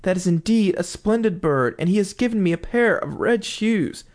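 A man's voice: sits high, lively intonation, moderate pace, darkish tone, pitched above the performer's own voice. A man voicing a little girl in a fairy tale, speaking lightly and eagerly. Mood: delighted. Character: little girl, tearful then joyful.

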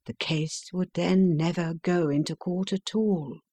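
A woman reading the part of a calm, brilliant detective, measured, courteous and composed. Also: lowish voice, no room sound, heavily acted. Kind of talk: audiobook character reading.

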